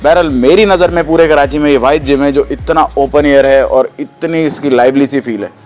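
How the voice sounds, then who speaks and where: A man's voice: on the high side, a little fast, a little mellow. Chatty man giving a gym tour. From Pakistan. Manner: chatty, enthusiastic, asks questions. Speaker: vlogger reviewing a gym. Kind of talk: casual walking vlog tour. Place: outdoor and indoor gym at night. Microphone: handheld phone mic.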